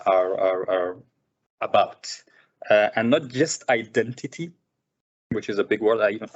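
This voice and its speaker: A filmmaker who grew up in Sudan, speaking thoughtfully at a seminar with many fillers and repeated words. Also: male voice, on the low side. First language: Arabic. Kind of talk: reflective personal talk. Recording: muffled lo-fi recording.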